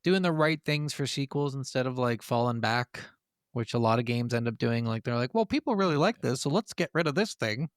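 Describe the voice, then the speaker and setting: A man's voice: medium pitch, animated, fairly quick, dry recording, studio quality. A man co-hosting a gaming podcast in casual, enthusiastic, sweary conversation. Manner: informal, profane, enthusiastic. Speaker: podcast co-host.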